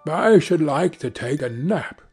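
A man's voice: a little high, animated, unhurried, a little mellow, breathy edge, soft background music, heavily acted. A man voicing a hungry, weary, sorrowful male sheepdog in a fairy tale, with plain delivery. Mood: weary, plaintive.

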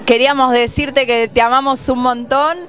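A teenage girl with a Rioplatense Spanish accent, chatting warmly through a birthday message into a handheld mic. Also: sits high, steady tone, moderate pace, background noise. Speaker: party guest. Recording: party music behind.

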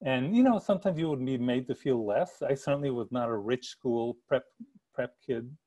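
Middle-aged man telling stories, mellow voice. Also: mid-range voice, normal speed. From El Paso area, Texas. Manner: earnest, gestures a lot, frequent restarts. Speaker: author giving a book talk. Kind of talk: personal storytelling. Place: attic room at home. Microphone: video call with earbuds.

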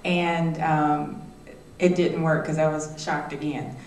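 Low-voiced woman speaking at a panel. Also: flat delivery, takes it slowly, a little mellow. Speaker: state legislator on a panel. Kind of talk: panel discussion answers. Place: theater stage. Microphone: handheld mic. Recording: roomy, distant, faint noise.

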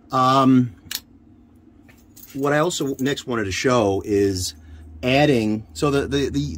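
A man giving an informal hands-on equipment demonstration, haltingly thinking aloud as he handles the gear. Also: lowish voice. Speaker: radio hobbyist demonstrating equipment. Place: inside a military-style off-road vehicle. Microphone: handheld camera, one hand free. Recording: noisy handheld camera audio.

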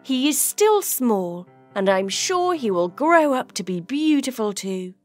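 A woman voicing a kindly, worried mother duck in an animated fairy tale. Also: on the high side, measured pace, soft background music. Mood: gentle, worried.

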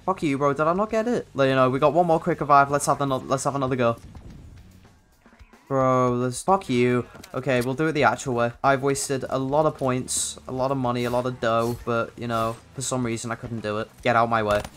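Young man, mixed London-Scouse accent, higher-pitched. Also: steady tone, a little fast, a bit bright, soft background music. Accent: hybrid of North London and Liverpool English. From England (North London, grew up in Liverpool). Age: young adult. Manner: chatty, rambling, sweary, slangy. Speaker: gaming video creator. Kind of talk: casual gameplay commentary.

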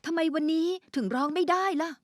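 A woman's voice: very high voice, fast, slight background noise. A woman voicing a kind, encouraging kindergarten teacher in a children's cartoon, sweet and reassuring. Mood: gentle concern. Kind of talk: dubbed children's cartoon acting. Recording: loud children's music behind.

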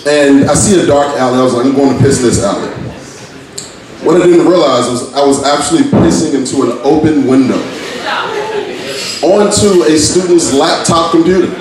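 A young man from Virginia doing brash, profane stand-up with deadpan asides, on a handheld mic in a small bar. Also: fairly high pitch, moderate pace. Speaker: stand-up comic. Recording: echoey bar from far off, crowd. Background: bar crowd.

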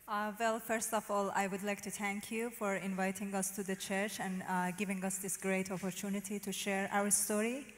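A woman in her thirties with a Persian accent speaks with a level, narrow melody, pausing often on "uh" as she searches for English words. She holds a handheld microphone on a church stage, and her voice trails into the long reverb of a large hall. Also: mid-range voice, little pitch movement. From Iran. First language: Farsi. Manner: hesitant, frequent "uh" fillers, non-native phrasing. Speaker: interview guest giving testimony. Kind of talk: personal testimony.

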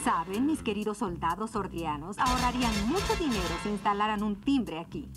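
A young warrior heroine of a cartoon, acted by a woman, bold and sure of herself. Her mid-pitched voice moves expressively, with a Latin American Spanish accent over loud music. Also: lively intonation, a little fast, close to an everyday voice. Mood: coolly bold.

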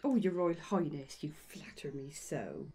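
A woman's voice: sits low, expressive, measured pace, dark tone. A woman playing a flustered, fawning elf baker in a fantasy roleplay, gushing and deferential. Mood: flattered, coy. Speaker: voice actor. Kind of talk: ASMR fantasy audio roleplay.

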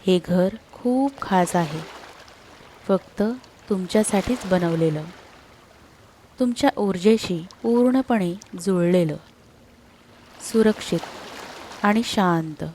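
A woman narrating a scripted sleep meditation in a soothing, unhurried manner, leaving long pauses between short phrases. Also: fairly low pitch, warm tone, a little hiss or hum.

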